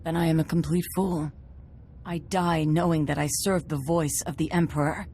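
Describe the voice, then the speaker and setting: A woman's voice: low-pitched, steady tone, normal speed, noisy background. An actress playing a stern, intense female Jedi with a secret in a video game. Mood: dying, bitter.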